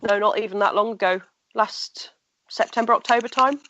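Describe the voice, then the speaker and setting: A woman's voice: mid-range voice, moderate pace, sharp tone, muffled recording, a little hiss or hum. A woman guest with a blocked, nasal voice, chatting casually in an online radio interview.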